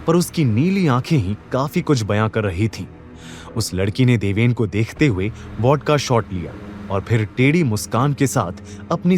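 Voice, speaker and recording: A man narrating a scripted dramatic audio story as its narrator. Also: mid-range voice. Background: background music.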